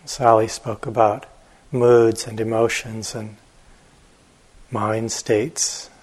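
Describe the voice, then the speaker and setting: A man teaching meditation, giving guided instructions gently and unhurriedly, with long pauses and a husky voice. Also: fairly low pitch, level tone, low-quality recording.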